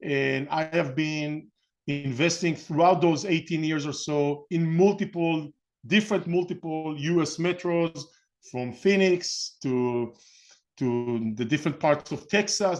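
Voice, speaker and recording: Webinar host: male, middle-aged, Israeli accent, slightly higher voice, informal and halting delivery with frequent rephrasing. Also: darkish tone. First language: Hebrew. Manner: halting, rambling, often rephrasing mid-sentence. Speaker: webinar host and real estate investment coach.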